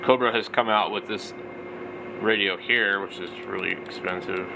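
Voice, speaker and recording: Low-voiced man rambling over background noise. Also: fairly even intonation, normal speed, gravelly. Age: 40s. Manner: rambling, thinking aloud. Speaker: vlogger, radio hobbyist. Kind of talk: casual off-the-cuff product commentary.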